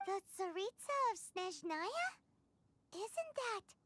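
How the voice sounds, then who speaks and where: A woman voicing a tiny, childlike fairy companion in a video game, cheeky, excitable and squeaky. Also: takes it slowly, a little hiss or hum, exaggerated voice. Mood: curious. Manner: speaks of self in third person, indignant. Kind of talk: scripted video game voice acting.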